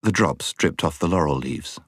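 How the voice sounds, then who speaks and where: A British man narrating a storybook as a scripted audiobook, in an expressive storytelling manner. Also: very deep voice, normal speed, breathy edge, no room sound, studio quality.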